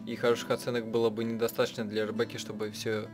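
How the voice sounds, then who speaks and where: A man narrating a visual novel playthrough as a live translator, haltingly, rephrasing as he goes. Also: fairly low pitch, completely flat delivery, music in the background. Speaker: let's-play narrator and translator.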